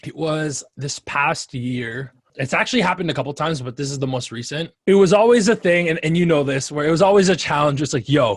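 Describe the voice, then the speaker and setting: A young man hosting a podcast, telling a story in a lively way and acting out his own calls. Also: fairly high pitch, no room sound, clean studio sound. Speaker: podcast host. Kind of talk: casual podcast conversation.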